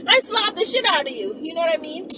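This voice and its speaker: A woman's voice: extremely high pitch, very animated, fast talker, distant mic, a crowd in the background. A woman rambling casually in an emphatic, profane storytelling manner, like a vlogger talking to followers. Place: inside a car while driving. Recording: noisy car, voice away from mic.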